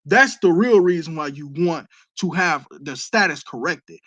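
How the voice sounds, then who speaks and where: A man talks on a live stream in a fairly high voice, recorded dry and close. He lectures emphatically, answering viewer questions and letting profanity slip in. It sounds like casual, unscripted stream talk. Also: lively intonation, unhurried, pushed and bright, no room sound. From Detroit, USA.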